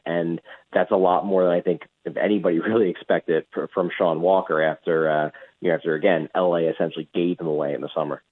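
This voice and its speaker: A male sports reporter giving analytical hockey commentary in a radio interview, in hesitant phrases with repeated words. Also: deep voice. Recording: muffled, tinny phone line.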